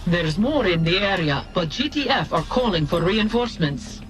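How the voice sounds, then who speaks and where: An actor playing a brisk, loyal military officer briefing a general in a strategy game, clipped and businesslike. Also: male voice, high voice, animated, fairly bright tone. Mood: brisk, encouraging. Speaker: military adviser briefing the player. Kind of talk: scripted game mission briefing. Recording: game music behind the voice.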